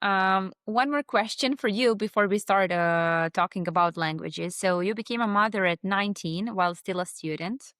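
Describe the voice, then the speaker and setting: A woman's voice: medium pitch, moderate pace, few pauses, fairly bright tone, no echo, clean studio sound. A woman in her thirties hosting a podcast interview in casual chat, hesitating with frequent ums and uhs. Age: 30s. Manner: hesitant, many ums and uhs, laughs easily. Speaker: podcast host.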